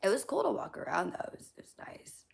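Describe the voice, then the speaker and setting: A young woman chatting casually on a livestream, answering chat questions bluntly with lots of fillers and swearing. Her throat is hoarse and scratchy from allergies, and she often drops into a whisper. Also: medium pitch, huge pitch swings, fast talker. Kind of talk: casual live-stream chat.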